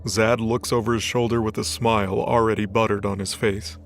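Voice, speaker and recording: A man's voice: lowish voice, little pitch movement, a little fast. A man reading scripted fiction as the narrator of a story. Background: background music.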